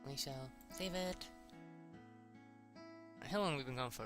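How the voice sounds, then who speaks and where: A man reading a visual novel aloud in a halting, informal, sweary way, with casual asides. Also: medium pitch, over music. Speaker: amateur reader of a visual novel.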